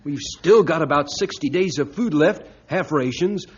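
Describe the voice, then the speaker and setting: An actor playing a dutiful, anxious ship's officer in a 1950s radio drama, deferential and earnest. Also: male voice, on the high side, normal speed, slightly rough. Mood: concerned. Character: dutiful, anxious male second-in-command officer. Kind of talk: 1950s radio adventure acting. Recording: muffled old radio recording, faint noise.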